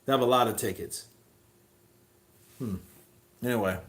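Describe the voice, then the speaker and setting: A man hosting a boxing livestream, chatting casually in a rambling, profane, animated way. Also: medium pitch, normal speed, echoey room.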